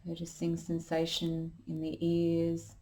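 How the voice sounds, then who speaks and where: A woman leading a guided meditation as a yoga teacher, calm and unhurried, giving gentle instructions. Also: lowish voice, rough recording.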